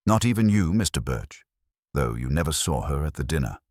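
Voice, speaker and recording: An imperious, learned detective from a mystery audiobook, acted out by a man. He speaks formally and deliberately in a very deep voice with varied intonation, recorded dry. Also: sing-song, a little mellow, no room sound, heavily acted. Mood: lecturing, exacting. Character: imperious, erudite male detective, deliberate and terse. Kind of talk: detective audiobook character dialogue.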